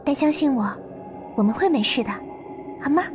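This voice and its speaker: A mysterious, devoted girl from a visual novel. Her voice is high and soft. She speaks earnestly and coaxingly, with music clearly playing underneath. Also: animated, fairly quick. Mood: gently reassuring. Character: Lilith, mysterious devoted girl from beyond the world. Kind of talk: visual novel game voice acting.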